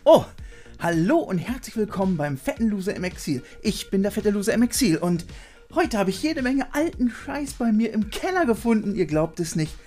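A man's voice: sits high, very animated, normal speed, mellow, soft background music. A man hosting a retro video show in a room full of collectibles, joking about himself and reading his facts in a casual way. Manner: jokey, self-mocking. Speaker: host. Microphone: studio mic on arm.

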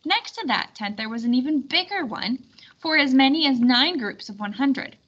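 A woman reading a children's storybook aloud in an expressive, clearly instructional way. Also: high-pitched, moderate pace, lo-fi sound. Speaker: storybook reader.

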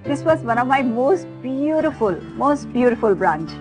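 A woman's voice: high-pitched, expressive, normal speed. A woman in her thirties with an Indian accent, presenting a cookery show casually and effusively, switching between English and Hindi. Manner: effusive, gushing. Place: open-air veranda beside a garden, at a dining table. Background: music.

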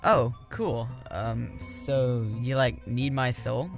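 An actor playing a naive, awkward, excitable teenage boy in a parody anime dub. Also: mid-range voice, slow pace, vocal fry, soft background music. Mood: awkward eagerness.

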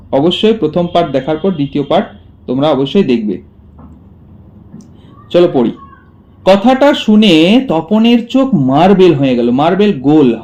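Man, fairly high pitch, school literature teacher, explanatory, repeats and paraphrases, question-and-answer delivery. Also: dark tone, highs cut off. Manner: asks rhetorical questions and answers them. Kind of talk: explanatory story lesson for students.

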